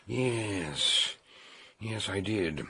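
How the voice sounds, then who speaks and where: An actor playing an older, learned detective in a radio drama, gravelly and husky, musing aloud and emphatic. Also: male voice, lowish voice, animated, drawn-out words, bright, forceful tone, heavily acted. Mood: musing, troubled. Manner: hesitant starts, repeated exclamations, ruminative.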